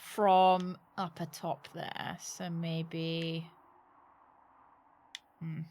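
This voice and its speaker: A woman's voice: on the low side, level tone, extremely slow, a little mellow, slight background noise. A woman game streamer giving casual, playful live commentary, thinking aloud and exclaiming as she plays.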